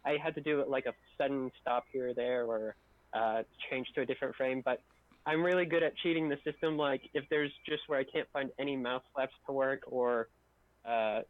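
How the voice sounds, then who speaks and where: A man chatting casually as a video editor and co-host on an online group stream. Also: mid-range voice. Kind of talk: casual group chat about editing. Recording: tinny narrow phone-like call line.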